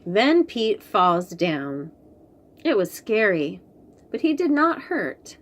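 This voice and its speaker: A woman reading a picture book aloud to young children, slow and expressive, then chatting about the books. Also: mid-range voice. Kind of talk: read-aloud storytime for young kids.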